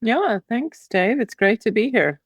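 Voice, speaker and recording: A woman's voice: on the high side, animated, unhurried, husky, no room sound. A woman in her fifties with an American Midwestern accent, thoughtfully telling her story as an interview guest. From Midwestern United States. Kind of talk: interview answers.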